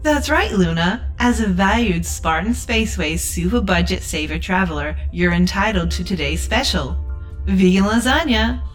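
A woman's voice: medium pitch, expressive, moderate pace, soft background music, lightly acted. A woman playing a relentlessly cheerful, customer-service-obsessed spaceship AI in a sci-fi audio drama, with polished, scripted politeness. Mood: bright, promotional.

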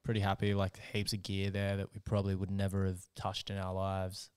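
A casual Australian guy in his thirties, talking as a podcast guest. His voice sits low and sounds close and dry. He swears easily and laughs a lot. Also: monotone, fairly quick, smooth, dry recording. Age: 30s. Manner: laid-back, swears freely, laughs often. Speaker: band member, guest.

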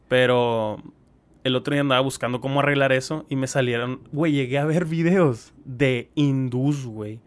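A man with a Mexican Spanish accent co-hosting a podcast, chatting casually with crude slang and animated retellings. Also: medium pitch, normal speed, clear, resonant tone. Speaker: podcast co-host. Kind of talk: casual chat.

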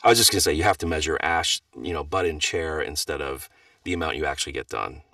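A middle-aged man with a very deep, low voice talks casually about his narration work, relaxed and self-deprecating, getting animated in his stories. The recording is dry and close-sounding, though he sits a bit back from the mic. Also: quick pace, dry recording, recorded from a distance. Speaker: audiobook narrator. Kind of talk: casual podcast chat.

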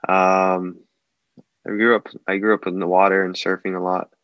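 A man in his twenties from Hawaii, a podcast guest answering casually, often pausing and restarting as he searches for words. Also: low voice, level tone, dry recording, highs cut off. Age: 20s. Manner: halting, searching for words with many fillers and restarts.

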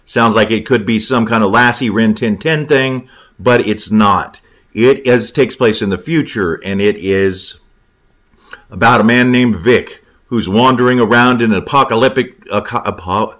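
Low-pitched man, casual film commentary, conversational, halting delivery, opinionated. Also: fairly bright tone. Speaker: writer, guest film commentator.

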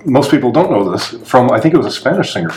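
A man's voice: medium pitch, very animated, a little mellow, soft background music. A man co-hosting a podcast in casual chat, teasing and joking with his guests. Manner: joking, teasing, quick-talking. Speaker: podcast co-host.

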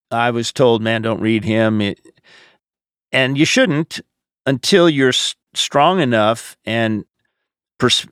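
An American man speaking as a pastor, answering questions in a measured, explanatory, conversational podcast style. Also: medium pitch, fairly bright tone, clear, resonant tone, no echo, studio quality.

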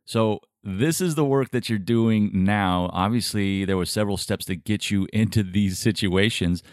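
A man hosting an interview, asking questions in a measured, admiring way. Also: on the low side, no room sound, clean studio sound. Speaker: interview host.

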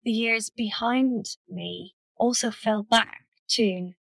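A woman's voice: a little high, slow, vocal fry, no echo. A woman in her fifties with an Irish accent, giving conversational answers as a panel guest on a video call. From Ireland. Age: 50s. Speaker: panel guest, engineering director.

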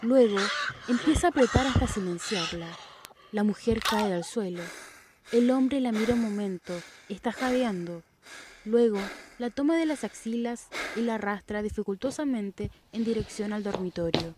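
A young woman narrates stage directions at a steady, even reading pace. Loud background noise runs under her voice throughout the recording. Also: mid-range voice, moderate pace. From Chile. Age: young adult. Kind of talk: read-aloud stage narration.

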